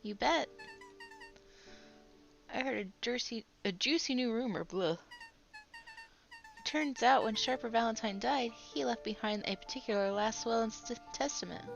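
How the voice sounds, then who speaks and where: A woman's voice: on the high side, a little slow, creaky, long pauses, highs cut off, soft background music. A woman voicing a young female reporter who shares rumors in a chatty, knowing way. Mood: gossipy.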